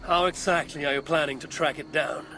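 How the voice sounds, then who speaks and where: A man's voice: a little high, fairly even intonation, a little fast, bright, forceful tone, slight background noise. A man playing a skeptical, distrustful Viking adventurer in a video game, with a blunt and questioning manner. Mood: wary, challenging. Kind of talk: video game dramatic acting.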